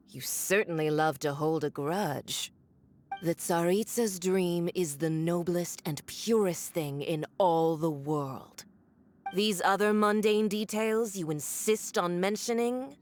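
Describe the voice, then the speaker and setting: A woman's voice: lowish voice, a little hiss or hum. A woman voicing a haughty, cruel noblewoman villain who speaks in a cold, condescending, mocking way in a video game. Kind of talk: video game cutscene acting.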